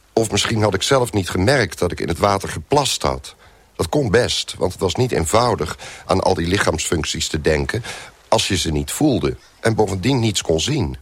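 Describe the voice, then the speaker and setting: An actor playing a trapped, bewildered man who tells his own ordeal in a radio drama, in a deliberate, musing, wry manner. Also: sits low, breathy edge. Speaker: narrator and lead character. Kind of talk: radio drama first-person narration.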